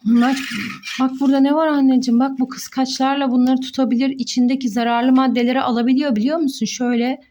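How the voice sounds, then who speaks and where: A woman's voice: sits high, steady tone, fast talker, dark tone. A young woman, a mother, playfully narrating toy play for children, full of exclamations and questions to young viewers.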